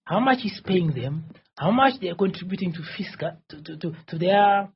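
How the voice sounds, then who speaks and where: A man's voice: high-pitched, lively intonation, moderate pace, creaky, dull audio, slight background noise. A man in his forties, originally from Tanzania, answering interview questions as a presidential candidate in a rambling, insistent way and often repeating himself. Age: 40s.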